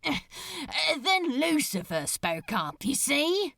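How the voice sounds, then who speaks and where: A woman plays a restless, jaded demon in an audiobook. The voice is high and bright with a gravelly creak. He rambles colloquially, exasperated and rebellious, at an unhurried pace. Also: very animated, dry recording, clean studio sound, exaggerated voice. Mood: rueful, emphatic. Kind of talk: audiobook character voice.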